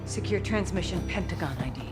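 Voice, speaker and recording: A woman doing a level-headed intelligence handler in a war game, briefing soldiers in quick, factual lines. her lowish voice sits over a heavy score. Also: expressive, close to an everyday voice. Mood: brisk. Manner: brisk, matter-of-fact. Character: cool-headed female intelligence officer. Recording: game cutscene with music bed.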